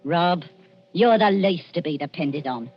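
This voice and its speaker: A woman's voice: fairly low pitch, lively intonation, unhurried. An actress playing a scheming, greedy old woman in a radio thriller, wheedling and sinister. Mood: sly, commanding. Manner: wheedling, calls people dearie. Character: scheming, greedy old Cockney female body-snatcher, coaxing and sinister. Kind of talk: 1940s radio thriller acting. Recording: muffled vintage radio recording, music behind.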